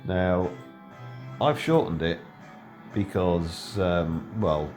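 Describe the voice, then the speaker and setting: A man's voice: sits low, expressive, extremely slow, a little mellow, faint music behind. A middle-aged man giving casual, rambling gameplay commentary, full of ums and self-corrections. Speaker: game video creator.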